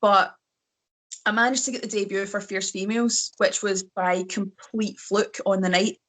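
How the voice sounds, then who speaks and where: A woman's voice: medium pitch, fairly even intonation, moderate pace, a bit bright. A Scottish woman in her thirties, a wrestler chatting as a podcast guest, telling rambling self-mocking stories. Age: 30s. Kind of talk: casual podcast chat.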